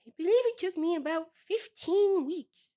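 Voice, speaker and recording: A man voicing a tiny, simple ninety-two-year-old fisherman, earnest and boastful, in a halting comedy interview with sighs and repeated words. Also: very high-pitched, expressive, dark tone. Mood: reminiscing.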